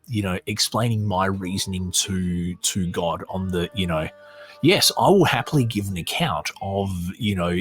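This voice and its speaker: A man with an Australian accent and a husky voice, hosting a podcast, reading aloud and commenting off the cuff in a conversational way. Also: on the low side. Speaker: podcast host.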